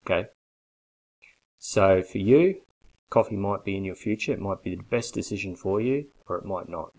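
A man in his forties giving a blunt, persuasive sales pitch straight to a webcam from a small bare office. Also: moderate pace, darkish tone, low-quality recording. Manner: blunt, persuasive, emphatic with hand gestures. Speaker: coffee business consultant. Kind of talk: direct-to-camera sales pitch. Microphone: webcam at desk.

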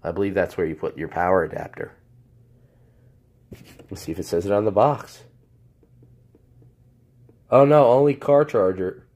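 A man with a hoarse voice from a cold, casually reviewing a product off camera while he handles it, rambling and correcting himself. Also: low-pitched, moderate pace, pauses often, darkish tone, dull audio. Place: indoors at a table. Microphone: handheld camera, off-screen speaker.

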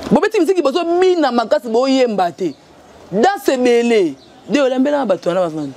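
Congolese lady ranting hard, super high voice, animated and scolding, handheld mic outside. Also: a little fast. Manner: loud, scolding and animated, gesturing. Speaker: interviewee. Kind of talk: heated rant. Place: outdoors by a tiled wall. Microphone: handheld mic in front.

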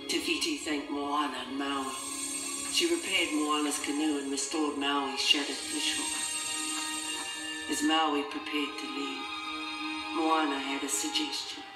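A children's storybook narrator with a dramatic scripted delivery at a medium pitch. The voice sits a little far off in an echoey recording, with loud music behind it. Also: little pitch movement, measured pace. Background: loud film-score music.

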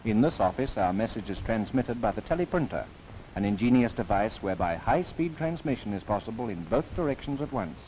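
An old, slightly noisy 1930s film soundtrack carries a man's low-pitched narration. He delivers a formal documentary script with theatrical swings in pitch and a wry touch. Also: animated, brisk, darkish tone.

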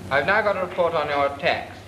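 A man's voice: a little high, fast, sharp tone. A man with a British accent playing an instructor in a 1940s film, matter-of-fact and mildly scolding as he reviews reports. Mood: stern. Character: stern male gunnery instructor. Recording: echoey, hissy old film sound.

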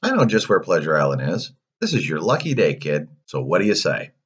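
Man playing a slick, pushy, lively-pitched coachman. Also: brisk, slightly rough, heavily acted. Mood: coaxing, enticing. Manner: coaxing, salesman-like patter. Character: slick, pushy male coachman tempting children. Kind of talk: storybook villain voice.

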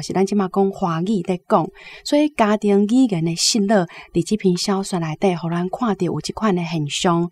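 A woman with a Taiwanese accent giving measured, explanatory literary commentary as an academic guest. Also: medium pitch, darkish tone.

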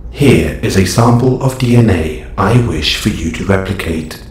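A man playing a calm, formal robot superhero in an audio roleplay, speaking in stilted, measured phrases. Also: low-pitched. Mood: firm, guarded. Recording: roomy echo, slight background noise.

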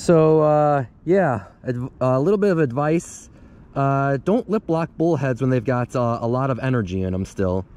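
Young guy vlogging from a cold riverbank, lively up and down voice, faint outdoor hiss. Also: mid-range voice, moderate pace. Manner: chatty, thinking aloud, mild surprise at catches. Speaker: fishing vlogger. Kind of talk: casual running commentary while fishing. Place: outdoors on a snowy riverbank in winter. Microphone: camera worn on the body or handheld at close range.

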